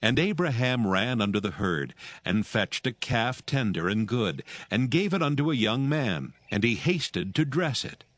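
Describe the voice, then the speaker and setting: A man narrating scripture from a script in a measured, solemn manner. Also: mid-range voice, big pitch swings, no room sound. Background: soft music.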